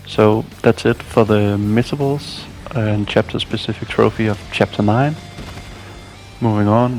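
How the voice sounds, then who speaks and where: A man with a fairly low, slightly gravelly voice narrates a video game walkthrough. He speaks as a guide reading through prepared steps, with faint music behind him. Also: darkish tone.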